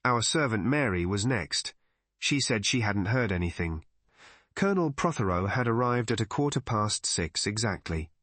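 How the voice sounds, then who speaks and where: A man's voice: lowish voice, animated, normal speed, a bit bright, no room sound. Man narrating a mystery audiobook, very dry sound. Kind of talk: scripted first-person mystery story narration.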